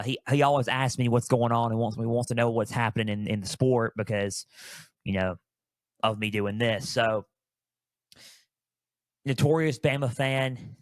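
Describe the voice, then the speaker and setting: A man's voice: mid-range voice, no room sound, clean studio sound. A man in his early twenties talking solo as a sports podcast host, conversational and storytelling, repeating phrases for emphasis.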